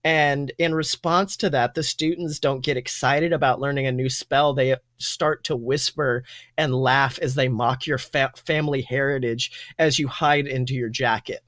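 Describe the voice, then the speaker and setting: A man's voice: mid-range voice, steady tone, normal speed, fairly bright tone, no room sound, studio quality. A man improvising tabletop game narration as game master in an informal, conversational storytelling manner. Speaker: game master and podcast host.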